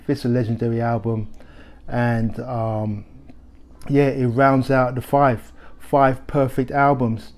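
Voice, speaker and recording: A middle-aged man talks through his favourite records in an easy, conversational way, stopping and restarting his sentences as he goes. His voice is mellow and dark, pitched in an ordinary middle range. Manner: relaxed, conversational, with frequent restarts and fillers. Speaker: record shop host. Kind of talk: casual music recommendations to camera.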